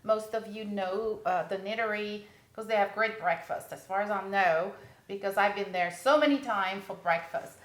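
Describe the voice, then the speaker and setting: A woman's voice: medium pitch, normal speed, a bit bright, low-quality recording. A middle-aged woman from Belgium, hosting a casual cooking show in a home kitchen. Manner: friendly, chatty, slightly non-native phrasing. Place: large home kitchen, indoors.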